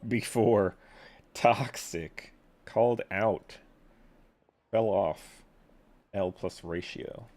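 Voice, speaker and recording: A male game streamer with a slightly low, creaky voice. He talks very slowly, in short deadpan remarks. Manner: terse, deadpan interjections. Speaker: livestreamer. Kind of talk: casual game stream commentary.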